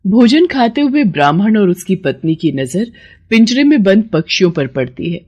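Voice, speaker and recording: Female story narrator, mid-pitched, scripted delivery for a children's moral cartoon. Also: sing-song, normal speed, a little mellow, muffled recording.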